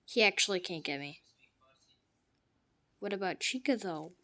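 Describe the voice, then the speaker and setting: A woman giving jokey gameplay commentary, trash-talking the game characters and swinging from hushed mutters to sudden loud outbursts. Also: mid-range voice, expressive, unhurried. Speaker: gamer.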